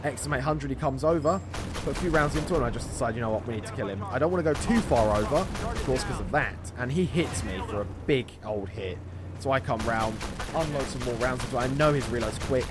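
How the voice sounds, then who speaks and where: Male gaming host, mid-pitched, running play-by-play in short bursts, clear noise behind the voice. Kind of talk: gameplay commentary.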